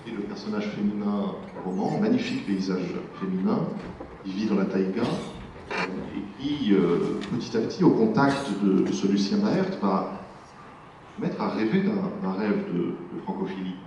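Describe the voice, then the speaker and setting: Low lively male interviewer in an echoey hall. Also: normal speed, noisy background. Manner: lively, summarizing the novel for the audience. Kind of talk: literary interview. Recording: echoey, muffled hall sound from far off.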